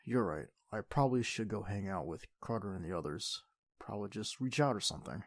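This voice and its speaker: A man playing an affectionate, playful teenage boyfriend in an intimate, soft-spoken ASMR roleplay. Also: on the low side, expressive, fairly quick, no echo. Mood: sincere, casual.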